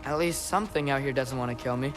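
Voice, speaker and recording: A man's voice: mid-range voice, fast, close to an everyday voice. A young man voicing a defiant, anguished teenage boy in a video game, impassioned and often talking to himself. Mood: anxious, musing. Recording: studio voice under game music.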